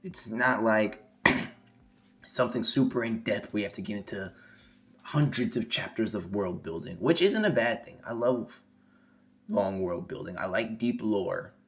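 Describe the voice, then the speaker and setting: A hoarse young man on a live stream, reading a manga chapter aloud and reacting excitably, swearing freely. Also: lowish voice, measured pace. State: hoarse, losing his voice. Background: faint music.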